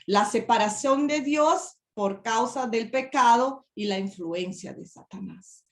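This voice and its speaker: A woman's voice: on the high side, takes it slowly, reverberant. A woman from Brazil with a Brazilian Portuguese accent, a native Portuguese speaker, preaching a sermon in a second language with careful, hesitant phrasing and emphatic questions.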